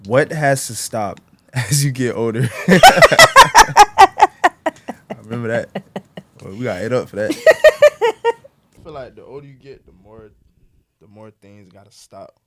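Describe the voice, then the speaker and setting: A man's voice: medium pitch, wildly swooping pitch, a crowd in the background. A man in his twenties co-hosting a podcast, talking casually and reflectively, rambling with lots of fillers and restarts. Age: 20s. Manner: rambling, halting, many fillers and restarts, says bro. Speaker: podcast co-host.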